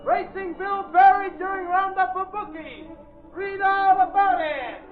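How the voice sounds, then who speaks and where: Excited street newsboy, extremely high hawking cries, echoey vintage radio recording. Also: male voice, a little slow, narrow, tinny audio, soft background music. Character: shouting young newsboy hawking papers. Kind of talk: 1930s-40s radio drama acting.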